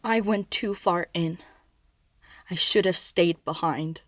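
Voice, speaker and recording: An actress playing an anguished, defiant mythical Cretan woman in a poetic audio drama, with intense, deliberate delivery. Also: lowish voice, fairly bright tone, dry recording, studio quality. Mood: regretful, defiant.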